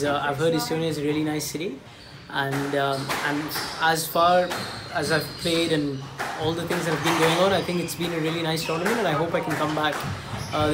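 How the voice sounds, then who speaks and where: A man's voice: a little high, very noisy. A young man from India, a squash player, giving a short friendly interview in a sports club hallway. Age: young adult.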